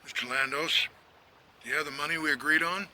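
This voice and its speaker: A man's voice: on the high side, a little fast, bright, forceful tone, a little hiss or hum, rough recording. An actor playing a nervous, impatient male official in a radio drama, curt and businesslike. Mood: nervous impatience. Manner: curt, tense. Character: impatient, nervous wealthy official taking a bribe.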